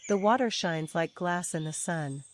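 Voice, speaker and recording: Lowish-voiced woman narrating a bedtime story. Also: moderate pace, a little hiss or hum. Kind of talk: scripted children's story narration. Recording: faint birdsong and nature ambience. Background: birdsong, crickets, forest ambience.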